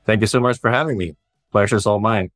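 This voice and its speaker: A man in his forties with a very low voice, talking through his career at an easy, thoughtful pace. The sound is dry and close, with no room echo. He leans on "you know" as he thinks. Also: a little fast, soft background music. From Sweden. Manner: relaxed and reflective, with frequent "you know" fillers and light laughs. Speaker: startup founder and CEO. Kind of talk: casual interview answers.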